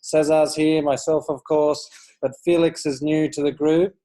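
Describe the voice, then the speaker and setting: Give me an easygoing man moderating a video call with a mellow voice. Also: on the high side, little pitch movement, a little slow. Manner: easygoing, guiding introductions. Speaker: meetup organizer.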